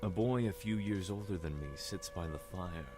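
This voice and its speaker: A man's voice: sits low, moderate pace, music in the background, lightly acted. A man playing a brooding, solemn character who narrates his haunted desert dreams in an audio drama.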